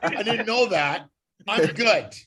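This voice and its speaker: A Canadian-accented man co-hosts a casual podcast in a fairly high voice. He cracks jokes and rambles, restarting his sentences as he goes. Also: expressive, slow, bright, forceful tone, breathy edge. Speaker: podcast co-host. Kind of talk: casual joking chat.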